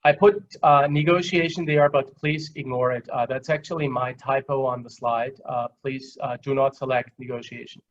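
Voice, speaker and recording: A dull, lo-fi online recording of a man with an Austrian accent. He lectures in a conversational, explanatory way at a mid pitch, halting often as he works through simple examples. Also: fairly even intonation. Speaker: guest lecturer. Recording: dull, lo-fi online call audio.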